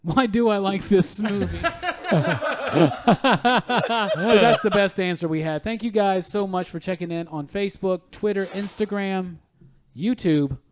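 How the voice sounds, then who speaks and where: High-pitched man hosting a podcast. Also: unhurried, long pauses, slight background noise. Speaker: podcast host. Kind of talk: casual panel chat.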